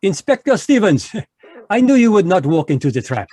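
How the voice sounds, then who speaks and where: A man's voice: sits high, very animated, moderate pace, a little mellow, no echo, clean studio sound. A man voicing a smug Belgian detective with a put-on French accent in an old-time radio play, pompous and polite. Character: fussy middle-aged Belgian male detective, smug. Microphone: video call microphone.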